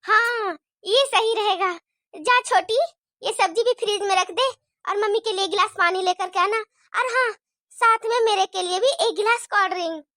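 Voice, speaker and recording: Woman playing a sneaky elder daughter-in-law, very high bright voice, sweet-talks and schemes, homemade skit. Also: moderate pace, no echo, studio quality. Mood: bossy. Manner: plotting in hushed mutters, sweet to her face. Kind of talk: homemade family drama skit.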